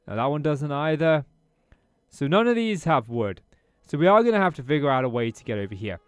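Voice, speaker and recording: A man's voice: medium pitch, smooth, no room sound. A British man commentating casually on a strategy game he is playing, thinking aloud, his voice a little off after a throat infection. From Britain. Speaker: gaming YouTuber. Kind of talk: casual let's-play commentary.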